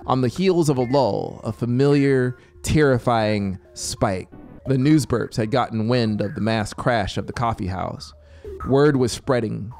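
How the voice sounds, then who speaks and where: A man in his thirties reads a story as a dramatic narrator. His voice sits at a middle pitch, close on a studio mic. A musical soundscape plays behind his suspenseful delivery. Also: measured pace, darkish tone, faint music behind. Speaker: audiobook narrator. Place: small home music studio. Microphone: close studio microphone.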